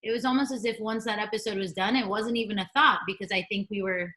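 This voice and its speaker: A woman's voice: medium pitch, fast talker, sharp tone. A woman casually reminiscing in a loose, conversational way over a video call.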